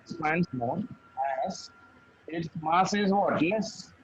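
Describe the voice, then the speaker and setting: A man's voice: a little high, slow, highs cut off, slight background noise. A man tutoring physics, patient, repeating key phrases and checking that his student follows. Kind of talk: one-on-one tutoring session.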